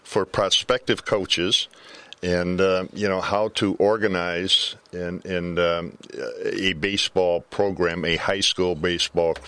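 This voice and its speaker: An older man talking baseball as a color commentator in a casual panel chat, halting and deliberate, often repeating words. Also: low-pitched, flat delivery, fairly bright tone, clear, resonant tone, no room sound. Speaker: color commentator, former coach. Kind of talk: casual sports panel discussion.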